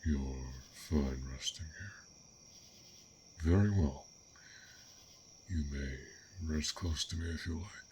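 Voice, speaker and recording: A man voicing a gentle, bashful dragon in an intimate fantasy audio roleplay, speaking haltingly in formal, old-fashioned words. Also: very deep voice, slight background noise, heavily acted. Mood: gentle, inviting. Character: fearsome but gentle, bashful, curious male dragon. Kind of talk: roleplay audio acting, intimate one-sided dialogue.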